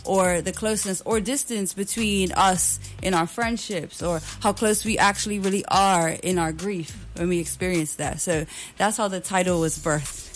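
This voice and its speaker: A woman's voice: lowish voice, normal speed, soft background music. A woman from Bermuda, a dance artist, talking earnestly about her show in a radio studio interview, with frequent filler words. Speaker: guest dancer and choreographer.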